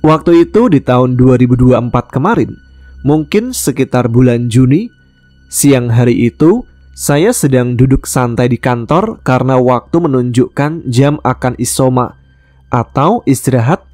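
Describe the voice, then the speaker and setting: A man from West Kalimantan, Indonesia, telling a true-life ghost story in a calm, measured way, voicing the dialogue plainly. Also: mid-range voice, dry recording, faint music behind. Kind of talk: first-person ghost story narration.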